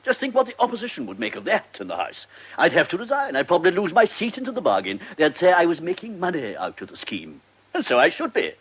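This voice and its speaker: An actor with an English accent playing a pompous, irascible government minister in a British radio drama, scolding and blustering. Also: male voice, on the high side, huge pitch swings, fast, a bit bright, breathy edge, full character voice, poor audio. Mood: indignant bluster. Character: pompous irascible male government minister, middle-aged or older.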